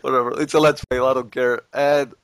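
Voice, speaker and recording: Guy, animated and laughy, mid pitch, dry close sound. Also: a little fast, clear, resonant tone, no room sound. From Soviet Union. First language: Russian. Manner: animated, laughs often, loose grammar. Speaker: guest, let's player. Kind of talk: casual podcast chat.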